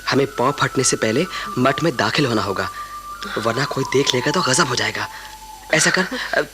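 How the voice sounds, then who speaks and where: A man's voice: medium pitch, lively intonation, normal speed, over music, lightly acted. An actor playing an ardent, reassuring young man from a monastery in a Hindi radio play. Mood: tender, hurried. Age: young adult.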